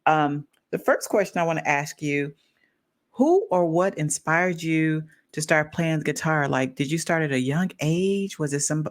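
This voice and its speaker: Excited lady host on a live stream, bubbly, asking questions. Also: low voice, a little slow. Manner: bubbly, enthusiastic. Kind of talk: live show interview.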